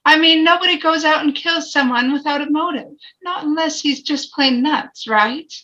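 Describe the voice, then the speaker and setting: A woman's voice: sits high, normal speed, pushed and bright. A woman playing a plain-spoken, self-assured working man on a jury in an amateur table read. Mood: earnest. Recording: dull, lo-fi microphone.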